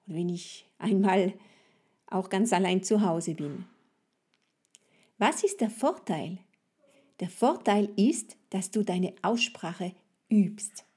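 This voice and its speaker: A woman, a native French speaker with a French accent, presents a language lesson as a podcast coach, speaking to the listener directly in deliberate, instructive phrases. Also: mid-range voice, dark tone, breathy edge.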